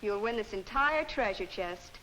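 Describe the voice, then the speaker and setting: A woman's voice: medium pitch, lively intonation, moderate pace, a bit bright, rough recording. A woman delivering a scripted promotional announcement on a 1950s television game show. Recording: 1950s TV broadcast with faint noise.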